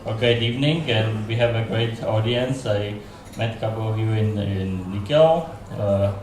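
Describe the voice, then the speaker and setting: Low-voiced Slovak man in echoey hall. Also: a little mellow. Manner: joking, halting with restarts. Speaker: panelist journalist. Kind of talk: panel self-introduction. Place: conference hall. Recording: echoey hall, audible noise.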